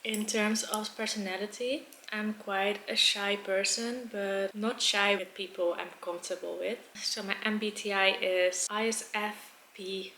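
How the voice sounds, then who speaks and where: A woman's voice: mid-range voice, fairly even intonation, a little slow, few pauses, bright, forceful tone. Woman, 20s, casual vlog host, level delivery, roomy recording with faint noise. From Netherlands. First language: Dutch. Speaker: mukbang video host. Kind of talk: casual vlog chat while eating.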